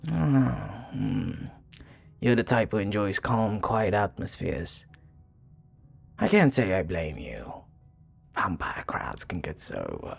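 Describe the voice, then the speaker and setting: A man playing a flirty, charming vampire bartender in an intimate ASMR roleplay, teasing softly and unhurriedly with breathy murmurs and hesitations. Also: heavily acted. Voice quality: low, husky, breathy.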